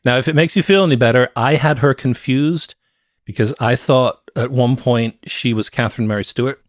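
A man's voice: mid-range voice, moderate pace, dry recording. A middle-aged man co-hosting a conversational movie review podcast, speaking into a close microphone in a small home room. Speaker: podcast co-host. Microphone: close podcast microphone with headset.

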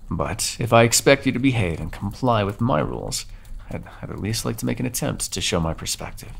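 A brooding ancient vampire, voiced by a man, speaks to his captive in a low, gravelly voice. His delivery is soft-spoken and measured, with the hushed intimacy of an ASMR roleplay. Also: full character voice. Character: brooding ancient male vampire captor, solemn. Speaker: voice actor. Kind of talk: ASMR audio roleplay monologue.

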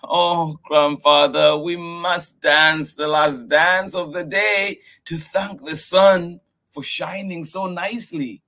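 A man's voice: fairly high pitch, steady tone, slow, bright, forceful tone, pitched above the performer's own voice, exaggerated voice. A man voicing an eager, busy little girl who keeps pleading politely to stay up.

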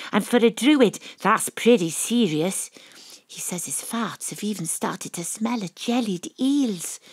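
An actress playing a wry, dogged private detective with a Welsh accent and a husky voice, in audio drama narration and dialogue. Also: female voice, fairly low pitch, a little mellow, dry recording.

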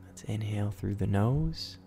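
A man leads a bedtime meditation in a fairly low voice with plenty of vocal fry. He gives gentle, soothing instructions and leaves space between them. Soft music plays faintly behind him. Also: animated, measured pace. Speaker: meditation guide, host.

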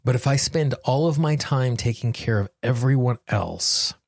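A man hosting a self-help podcast, coaching listeners directly in measured, deliberate phrases. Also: mid-range voice, creaky, a little mellow, no room sound, clean studio sound. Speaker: podcast host and tapping coach.

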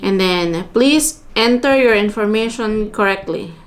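A woman's voice: mid-range voice, unhurried, slight background noise. A woman in her thirties chatting casually through a vlog and talking through each step aloud. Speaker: vlogger. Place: home office, then car and supermarket.

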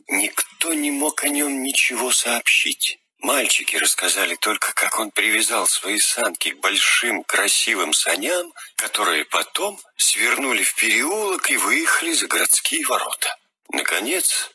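A man narrating a children's fairy tale in a husky, expressive storytelling voice. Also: lowish voice, dry recording.

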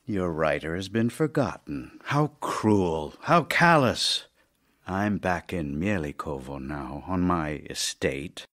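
An actor playing a middle-aged Russian playwright in an audio drama, wry and lovesick, with theatrical, deliberate pauses. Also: male voice, deep voice, expressive, a bit bright, full character voice. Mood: wry, tender. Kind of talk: theatrical audio drama, reading love letters.

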